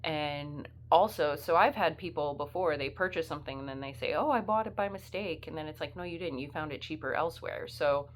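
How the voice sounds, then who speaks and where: A woman's voice: deep voice, a little hiss or hum. A woman with the flu, sniffly, chatting casually and rambling as a part-time reseller in her vlog. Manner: chatty, rambling, many fillers.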